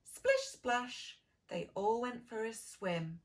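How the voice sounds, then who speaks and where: A woman teacher telling a story to preschool children in a sing-song, exaggerated way, gently asking them questions. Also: on the high side, wildly swooping pitch, takes it slowly. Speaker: preschool early years teacher reading a story.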